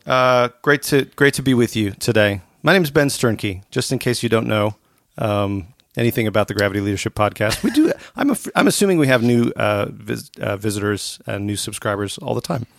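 A man hosting a podcast in casual conversation, talking informally and haltingly with frequent short asides. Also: on the low side, expressive, creaky. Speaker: podcast host.

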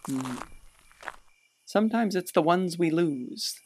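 A man playing a folksy, reflective small-town storyteller, narrating a radio play in an unhurried, wistful way. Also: fairly high pitch, animated, darkish tone, a little hiss or hum.

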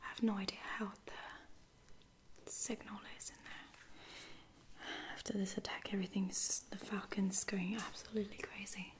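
Woman acting a caring space officer lady, all whispers, flat and halting, asmr roleplay. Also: medium pitch, natural voice. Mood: quietly worried. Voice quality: breathy whisper. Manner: hesitant, halting, soft-spoken. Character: caring, reassuring female space lieutenant tending a wounded soldier.

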